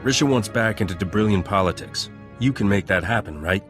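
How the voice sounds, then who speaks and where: A man's voice: fairly low pitch, brisk, over music, close to an everyday voice. An actor playing a roguish, cynical male starship captain with a teasing, wry manner, in video game dialogue. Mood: skeptical.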